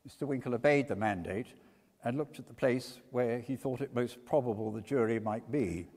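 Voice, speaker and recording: A man's voice: mid-range voice, normal speed, darkish tone, slight background noise. A man narrating as a reader of literary scenes, in a dramatic storytelling style. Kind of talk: dramatic stage reading, storytelling. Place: church, before an audience. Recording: reverberant church hall.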